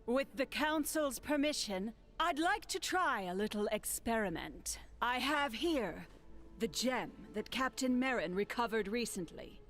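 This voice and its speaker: A fairly high female voice, very slow and formal, as a poised scholar at a fantasy game council. Music plays clearly beneath her deliberate delivery. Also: lively intonation, a bit bright. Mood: confident, intrigued. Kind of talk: video game cutscene acting.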